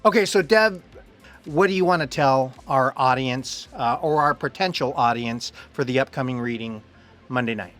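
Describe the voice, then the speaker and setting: A man's voice: mid-range voice, moderate pace, fairly bright tone, faint music behind. A man hosting a casual promotional interview with a fellow actor, playful and bantering.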